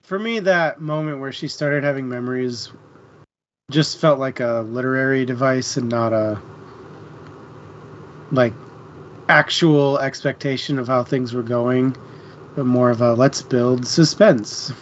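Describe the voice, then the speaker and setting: A man's voice: medium pitch, a little slow, pauses often. A man co-hosting a livestream book discussion, talking in a casual, conversational way. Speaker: co-host of a book club livestream. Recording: dull stream audio, faint background noise.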